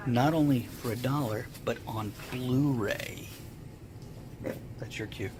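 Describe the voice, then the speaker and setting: A man's voice: mid-range voice, takes it slowly. A man giving casual, joking commentary on products in a crude, wisecracking manner. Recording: noisy background ambience.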